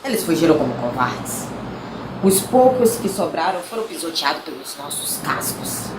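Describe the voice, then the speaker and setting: A woman's voice: deep voice, big pitch swings, normal speed. An actor with a Brazilian accent playing a boastful, vain Bulgarian army major in his early thirties who defends his glory proudly. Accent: Brazilian Portuguese. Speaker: acting student. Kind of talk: mock-documentary stage acting. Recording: echoey room with noise.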